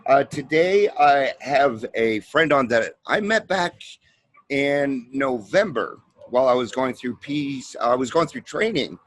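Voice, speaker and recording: A man's voice: medium pitch, animated, measured pace, no echo. Heartfelt male podcast host. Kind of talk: casual interview chat.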